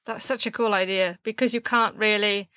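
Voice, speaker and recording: A young woman hosting a film podcast, chatting casually with lots of fillers and quick laughs. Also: medium pitch, steady tone, pushed and bright, dry recording. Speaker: podcast host. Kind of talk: casual chat.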